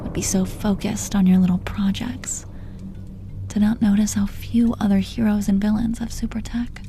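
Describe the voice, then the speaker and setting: A woman's voice: mid-range voice, little pitch movement, normal speed, gravelly, warm tone, faint music behind, natural voice. A woman playing a teasing, protective female supervillain in her thirties in an intimate roleplay audio drama, foul-mouthed but coaxing, full of pet names. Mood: fond, probing. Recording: noticeable background noise.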